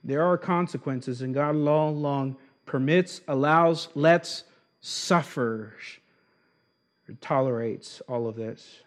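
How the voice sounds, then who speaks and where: A man with Argentine roots preaching an expository sermon, slowing down to stress key words. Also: mid-range voice. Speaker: pastor.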